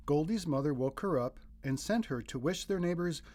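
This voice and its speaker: Guy reading a kids picture book out loud, super animated up and down delivery. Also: on the high side, moderate pace. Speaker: storybook narrator. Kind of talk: expressive scripted narration of a children's picture book.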